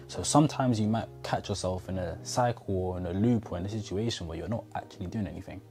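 A man's voice: deep voice, warm tone. A man in his twenties giving an earnest motivational talk to camera in his bedroom, speaking straight to the viewer on a lapel mic. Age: 20s. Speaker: motivational vlogger. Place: bedroom indoors. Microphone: clip-on lapel mic.

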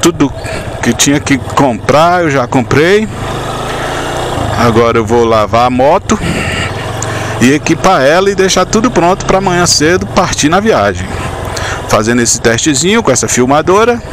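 A man's voice: on the high side, animated, normal speed, long pauses, background noise. A middle-aged Brazilian man chatting casually as a motovlogger, recorded on a lapel mic while riding a motorcycle. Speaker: motovlogger testing a new camera. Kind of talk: casual vlog chat. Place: outdoors, riding a motorcycle on town roads. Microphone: lapel mic while wearing a helmet.

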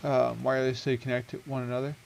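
Gravelly-voiced young man for a casual game walkthrough, chatty and rambling, headset mic with faint hiss. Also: mid-range voice, level tone, fast, darkish tone. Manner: rambling, self-correcting, chatty with many ums. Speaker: gaming video creator.

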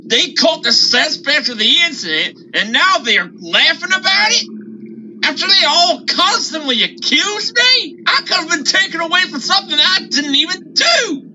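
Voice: very high voice, lively intonation, measured pace. An actor voicing a bitter, paranoid steam engine plotting revenge in a cartoon, aggrieved and scheming. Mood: outraged. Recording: dull lo-fi sound, faint noise.

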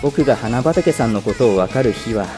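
A man's voice: mid-range voice, lively intonation, moderate pace, music in the background, close to an everyday voice. An actor playing a timid, over-considerate young male office worker in a voice drama, hesitant and prone to stammering. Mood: wry, resigned. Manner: hesitant, stammering when flustered. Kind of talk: Japanese voice drama, inner narration and dialogue.

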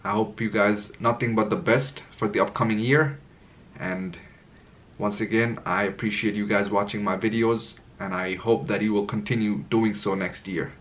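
A man's voice: on the low side, fairly even intonation, normal speed, fairly bright tone. A man in his twenties talking unscripted to camera in a room at home, candid and a little rambling as he sorts his thoughts. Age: 20s. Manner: candid, rambling, searching for words. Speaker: vlogger. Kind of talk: unscripted reflective monologue to camera. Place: indoor room with curtains.

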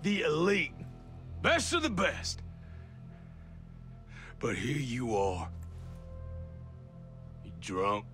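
An actor playing a menacing military commander in a video game, sardonic and profane, swinging between hushed and shouted delivery. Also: male voice, mid-range voice, wildly swooping pitch, extremely slow, long pauses, soft background music, heavily acted. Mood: contemptuous. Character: menacing military commander berating his drunken elite soldiers. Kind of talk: cinematic video game acting.

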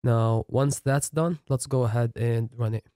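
Man calmly walking through software setup. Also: mid-range voice, unhurried, dry recording, clean studio sound. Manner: plain step-by-step explaining. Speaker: software tutorial instructor. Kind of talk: screen-recorded coding tutorial narration.

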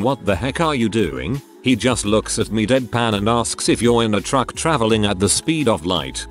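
A man narrating online posts in an even, low-key reading voice. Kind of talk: scripted narration.

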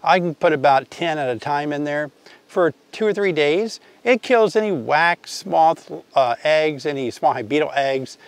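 Older male instructor, slightly high voice, friendly and eager, recorded outdoors in a field. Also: animated, a little slow, no room sound. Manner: chatty, enthusiastic, addresses viewers directly. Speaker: beekeeping teacher. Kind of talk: casual outdoor tutorial. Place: outdoor apiary, grassy field.

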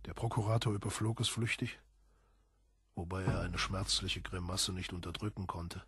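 A man narrating a literary audiobook in a husky voice, with solemn, measured, dramatic emphasis. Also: low voice, steady tone, fairly bright tone, dry recording.

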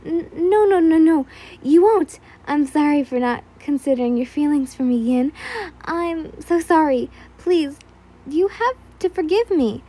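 A woman's voice: very high-pitched, normal speed, dark tone, pitched above the performer's own voice, rough recording. A woman voicing a frantic, pleading young man. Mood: desperate pleading. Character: desperate obsessive young man, tearful.

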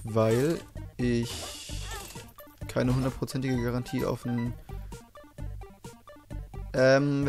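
A hoarse man casually commenting on his gameplay, chatty and swearing now and then as he explains things to viewers. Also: medium pitch, darkish tone. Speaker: let's play host.

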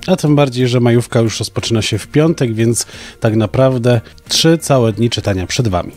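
A man's voice: medium pitch, normal speed, music in the background. A young man co-hosting a podcast with scripted book recommendations. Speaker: podcast co-host.

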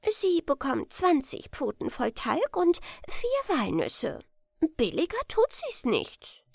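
Woman voicing a high-pitched, brisk storybook mouse. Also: wildly swooping pitch, creaky, dry recording, clean studio sound, exaggerated voice. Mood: proud. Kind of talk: storybook character voice.

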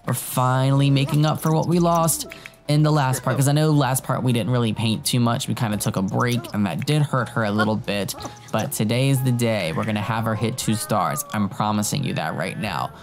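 A young man talks through his game in a casual, excitable running commentary, speaking straight to his viewers. A cold leaves his voice sounding a little off and his throat sore. His pitch is middling for a man, and faint music plays underneath. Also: fairly quick. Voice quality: voice sounds a bit off from illness. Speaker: gaming YouTuber. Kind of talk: casual let's-play commentary. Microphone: headset mic.

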